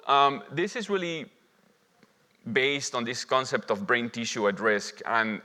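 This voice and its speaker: Bright-voiced man lecturing in a reverberant hall. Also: medium pitch. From Lima, Peru. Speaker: physician presenting as a conference speaker. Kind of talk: medical conference lecture. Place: indoor conference hall at a symposium. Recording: reverberant hall, long echo.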